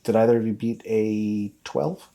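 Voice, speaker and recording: A man narrating a tabletop game as game master, improvising the scene in a halting way. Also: fairly low pitch, steady tone, creaky, dark tone, dull audio.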